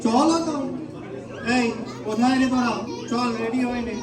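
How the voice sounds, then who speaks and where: A man's voice: very high voice, normal speed, a crowd in the background, background noise. A man playing a grumbling, reluctant god husband and father in an amateur comic stage play. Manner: grumbling, exasperated. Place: stage in a large hall. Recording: echoey stage hall, distant mic, loud music, audience.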